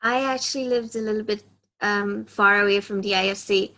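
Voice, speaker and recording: Young woman, grew up in saudi arabia, mid-pitched, relaxed, says um a lot, on a video call. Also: brisk. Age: young adult. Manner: easygoing, with frequent ums. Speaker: student guest.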